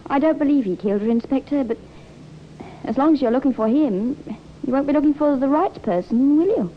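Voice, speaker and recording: Anxious young woman, high voice, hesitant and pleading, vintage radio drama, muffled with light hiss. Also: expressive, brisk. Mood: reluctant, anxious. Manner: hesitant, soft-spoken pleading. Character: reluctant, anxious young artist's model. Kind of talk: 1950s radio drama acting. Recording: dull, noisy vintage radio recording.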